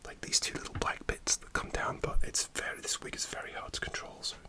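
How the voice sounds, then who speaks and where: A man softly whispering a rambling ASMR story, with many fillers and restarts. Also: a little fast. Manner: soft, relaxed whisper throughout. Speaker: ASMR creator.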